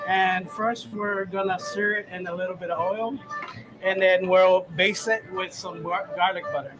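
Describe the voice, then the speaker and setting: A middle-aged man from Samoa, raised in Hawaii, talks through his cooking in a high, easygoing voice. He chats casually and laughs often. Music plays clearly in the background. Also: moderate pace, poor audio. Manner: relaxed, chatty, laughs easily. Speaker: chef. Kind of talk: casual live cooking demo. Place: outdoor boat show.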